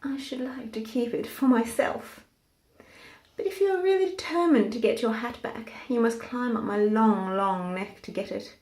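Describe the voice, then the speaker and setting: Storybook giraffe, female reader: lofty, teasing lady with a high voice. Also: normal speed, a little mellow, roomy sound, close to an everyday voice. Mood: haughty.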